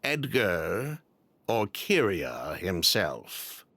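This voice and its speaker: An actor playing a smooth-talking, defensive ex-convict perfume businessman in a video game, explaining himself with theatrical flair. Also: low-pitched, wildly swooping pitch, very slow, sharp tone, no echo, heavily acted. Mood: brisk, guarded.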